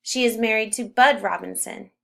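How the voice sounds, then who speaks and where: A woman's voice: a little high, moderate pace, gravelly, fairly bright tone. Woman reading a children's storybook aloud. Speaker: storybook reader.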